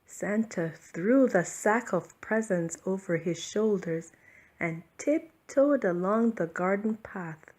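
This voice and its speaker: Woman reading a bedtime story, slowly. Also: mid-range voice, darkish tone. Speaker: storybook reader. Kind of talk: children's bedtime story narration.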